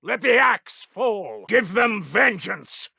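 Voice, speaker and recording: A man's voice: high-pitched, expressive, slow, gravelly, pushed and bright, slightly rough, no echo, clean studio sound, heavily acted. A man playing a stern, zealous wartime intelligence officer in a strategy game, giving formal, clipped, martial announcements. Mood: rousing, defiant. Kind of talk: scripted game unit announcements.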